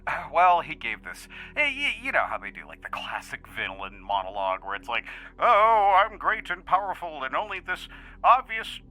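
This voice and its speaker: A man's voice: on the high side, moderate pace, soft background music, heavily acted, rough recording. A man playing a brash, jokey magician who rambles casually through his stories in an audio drama. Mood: animated, sheepish. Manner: animated, hesitant rambling storytelling. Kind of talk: conversational audio drama acting.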